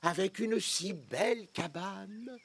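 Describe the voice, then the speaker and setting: An actor playing a courtly, kindly stork gentleman in a French children's cartoon, with a polite, theatrical, sprightly delivery. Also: medium pitch, slow. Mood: reassuring. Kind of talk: French animated children's series dialogue.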